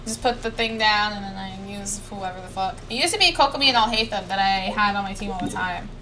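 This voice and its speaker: A woman's voice: medium pitch, quick pace, rough recording. A young woman chatting casually as she livestreams a video game, in an informal, conversational style. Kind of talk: casual livestream chat over gameplay. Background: background music.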